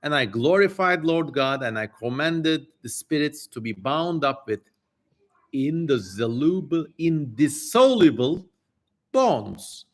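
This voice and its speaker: A man's voice: on the high side, big pitch swings, takes it slowly, voice pushed up. A man voicing a solemn ancient king who recounts his testament in a declamatory, portentous dramatic reading. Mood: solemn, grand.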